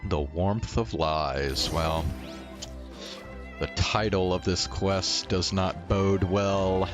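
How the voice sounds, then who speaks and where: A man's voice: deep voice, steady tone, extremely slow. A man giving casual commentary as he streams a video game. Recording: game music behind the voice.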